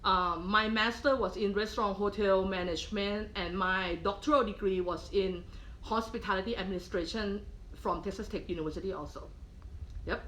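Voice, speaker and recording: A woman's voice: medium pitch, steady tone, normal speed, a bit bright, low-quality recording. Middle-aged Thai-accented woman, patient cooking instructor, kitchen clatter and faint hiss under her. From Thailand. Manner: patient, checks in with frequent "okay?". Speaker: culinary professor. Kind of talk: live cooking demonstration.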